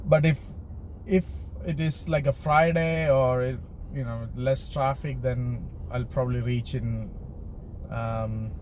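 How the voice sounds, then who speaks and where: Road and engine noise fills a car interior as a man talks to his viewers. His delivery is slow and hesitant, with pauses and restarts, in a medium-pitched voice. It is a casual, unscripted driving vlog. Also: poor audio. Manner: halting and hesitant, trailing into pauses. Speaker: driving vlogger. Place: inside a car while driving. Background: steady car and traffic noise.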